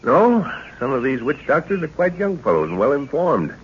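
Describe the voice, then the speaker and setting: An eager, muttering old professor, swooping pitch. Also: male voice, sing-song, normal speed. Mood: knowing. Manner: hesitant muttering to himself, exclaiming, avuncular. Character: older professor father, eager absent-minded adventurer and scholar. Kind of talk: 1940s radio adventure serial acting. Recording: muffled, noisy old-time radio recording.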